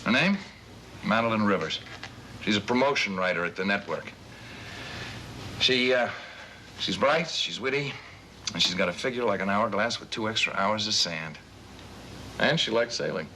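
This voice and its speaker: Sour wisecracking 40s husband, lowish voice, bit of tape hiss. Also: male voice, animated, normal speed, long pauses, pushed and bright, poor audio. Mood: wistful. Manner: wisecracking, sarcastic, exasperated. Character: bitter, sardonic middle-aged man in therapy. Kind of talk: 1970s TV movie drama.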